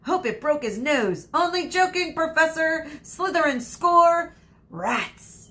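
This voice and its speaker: A woman voicing an excitable, biased schoolboy commentator, emphatic and sardonic, in a read-aloud story. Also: sits high, slow, pitched above the performer's own voice, full character voice. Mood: excited, partisan.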